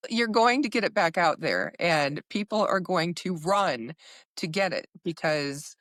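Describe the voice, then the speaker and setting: A woman hosting a conversational podcast interview, talking in an effusive, halting way. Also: on the low side, lively intonation, fairly bright tone, no room sound, studio quality. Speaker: podcast host.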